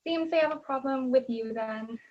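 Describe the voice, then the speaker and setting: A woman's voice: sits high, normal speed. A young woman playing a blunt, unimpressed radio production assistant in a scripted comedy, with a matter-of-fact delivery. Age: young adult. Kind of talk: scripted comedy stage acting. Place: room at home. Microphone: video call. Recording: dull, lo-fi video call audio.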